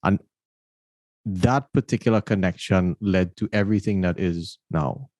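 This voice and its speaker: Host with a very deep voice, dry sound. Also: male voice, measured pace, darkish tone, dry recording. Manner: thoughtful, repeats words when starting sentences, storytelling. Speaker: podcast host. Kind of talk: casual interview conversation.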